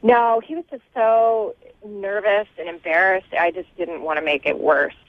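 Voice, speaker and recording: A woman's voice: medium pitch, fairly even intonation, moderate pace. A woman calling a radio show by phone, chatting casually and a bit hesitantly over a thin line. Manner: hesitant, conversational. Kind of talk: casual chat. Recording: tinny narrow phone line.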